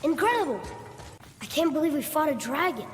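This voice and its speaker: A man's voice: extremely high pitch, big pitch swings, a little fast, big-room sound, a little hiss or hum. An actor playing an eager, curious young boy adventurer in a video game. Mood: awed, curious. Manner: wide-eyed, inquisitive, eager.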